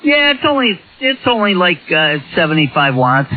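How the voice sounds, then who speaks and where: Higher-pitched man on a thin CB signal. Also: very animated, normal speed, phone-quality sound. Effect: radio transmission. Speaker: CB radio operator. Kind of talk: casual radio chat. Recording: thin, band-limited CB radio signal.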